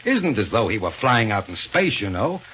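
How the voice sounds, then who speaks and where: A man's voice: fairly low pitch, sing-song, a bit bright. An actor playing a commanding, calculating villain in a 1930s radio serial, authoritative and impatient with his underlings. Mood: confident, calculating. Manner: authoritative, deliberate. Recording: noisy, muffled 1930s radio recording.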